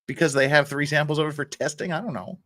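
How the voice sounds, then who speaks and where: A man hosting a livestream in casual chat, halting and tentative as he thinks aloud. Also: a little high, steady tone, pushed and bright, slight background noise. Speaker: livestream host.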